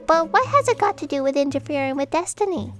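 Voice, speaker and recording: An actress playing an earnest, excitable fact checker who worries easily, in an audio drama. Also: female voice, sits high, fast talker, soft background music. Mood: puzzled.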